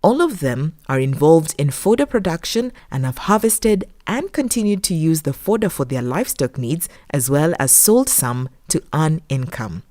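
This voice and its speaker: A woman narrates a documentary from a formal script. Her low voice is mellow and moves with lively intonation. She keeps a measured delivery. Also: no echo, clean studio sound. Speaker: documentary narrator, voice-over artist.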